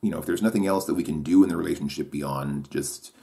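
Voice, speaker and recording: A man with a deep voice thinking aloud in a solo monologue. He hesitates, restarts his sentences and questions himself as he goes. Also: fast, vocal fry, mellow.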